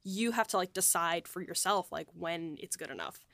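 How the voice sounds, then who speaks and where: A woman's voice: fairly low pitch, normal speed, creaky, a bit bright, no echo. A woman writer and producer in a casual behind-the-scenes discussion, talking in a reflective, rambling way.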